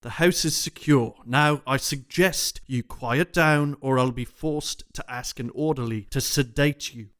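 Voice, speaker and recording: An actor playing a polite, firm young gentleman who is gently insistent. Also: medium pitch, a little slow, hardly any pauses, close to an everyday voice. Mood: concerned, firm. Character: proper, concerned young English gentleman.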